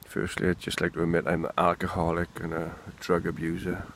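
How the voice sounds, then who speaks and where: A man's voice: deep voice, completely flat delivery, a little fast, fairly bright tone. A Welsh man rambling candidly and swearing freely in an unscripted monologue, recorded outdoors in the wind. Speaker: vlogger.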